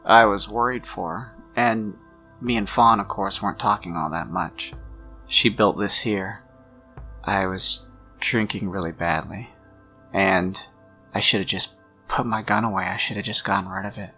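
Man playing a low, gravelly, guilt-ridden loner. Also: fairly even intonation, sharp tone, faint music behind. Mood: haunted, anxious. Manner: halting, subdued, trailing off in fragments. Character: troubled, guilt-ridden quiet male loner confessing to a detective. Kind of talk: tabletop roleplay dialogue.

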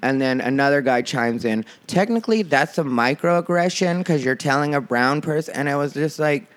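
A man with a nasal voice hosting a casual podcast, chatty and quick to laugh, acting out what others said. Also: a little high. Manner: chatty, laughs often, animated retelling. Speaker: podcast host. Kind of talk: casual chat.

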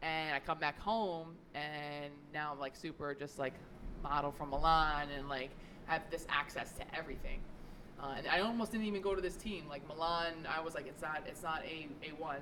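A young adult woman chatting casually as a podcast guest, telling stories in an animated, quick way. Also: deep voice, noisy background. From New York. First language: Italian. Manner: animated storyteller, quick asides.

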